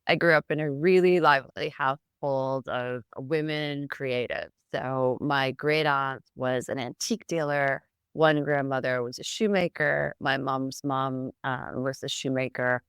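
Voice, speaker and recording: A middle-aged American woman from near Boston, a guest telling her story in a chatty, animated way with occasional laughs. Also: rumbling low, a little slow, few pauses, clear, resonant tone, dry recording, clean studio sound. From United States, Boston area. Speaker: guest, founder. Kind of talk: casual interview.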